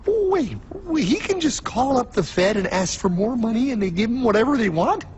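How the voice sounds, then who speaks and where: A man's voice: very high voice, big pitch swings, normal speed, darkish tone, highs cut off, a little hiss or hum. An actor playing a naive, confused everyday man in a cartoon, stammering out flustered questions. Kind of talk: animated cartoon voice acting. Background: music.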